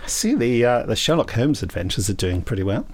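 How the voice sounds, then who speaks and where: A man co-hosting a podcast, reading out news in casual chat with dry jokes that trail off quietly. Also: medium pitch, very animated, fairly quick, slight background noise. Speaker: podcast co-host.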